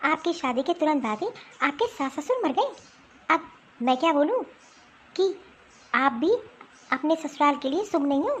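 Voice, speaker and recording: Woman playing a high-voiced wronged young wife. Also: animated, normal speed, pauses often. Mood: retorting. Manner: pleading, protesting, explanatory. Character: wronged young wife, honest, firmly defending herself. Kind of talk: Hindi cartoon family drama acting.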